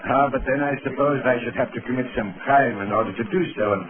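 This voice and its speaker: An actor playing a courteous, learned foreign psychiatrist in a 1930s radio drama, formal, measured and polite. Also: male voice, mid-range voice, close to an everyday voice. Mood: genial, curious. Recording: tinny 1930s radio with crowd murmur.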